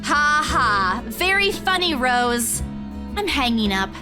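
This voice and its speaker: A woman's voice: high-pitched, sing-song, takes it slowly, full character voice. An actress playing an earnest, easily rattled young working woman, the heroine of a visual novel. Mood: dry annoyance. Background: background music.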